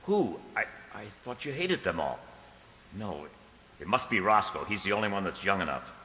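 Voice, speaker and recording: A man playing an earnest, anxious, lovestruck gentleman in a radio drama, with a stammering, dramatic delivery. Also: lowish voice, sing-song, normal speed, roomy sound, big-room sound, slight background noise. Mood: jealous, shocked. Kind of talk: radio drama acting, journal narration and dialogue.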